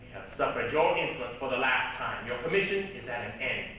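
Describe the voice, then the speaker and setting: A man's voice: mid-range voice, expressive, a little fast, sharp tone, recorded from a distance. Man playing an imperious pope, echoing hall. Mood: indignant, commanding. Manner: declamatory, indignant, commanding. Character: angry, imperious pope rebuking a defiant painter. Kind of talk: stage reader's theatre acting. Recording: echoey hall from afar, hissy 1980s recording.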